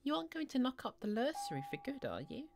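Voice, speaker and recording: A woman puts on a young boy for an audio drama. He is spoiled and coldly defiant, high in pitch with very lively intonation, over clear background music. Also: fast talker, heavily acted. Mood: coolly probing. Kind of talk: dramatic audio short story reading.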